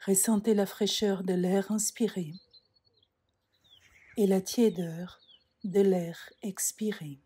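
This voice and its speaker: A woman leads a guided relaxation at a very unhurried pace. Her voice is soft and breathy with a mellow tone. She gives calm, measured instructions and leaves frequent pauses between phrases. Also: mid-range voice. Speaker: yoga nidra meditation guide. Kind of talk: slow guided relaxation meditation.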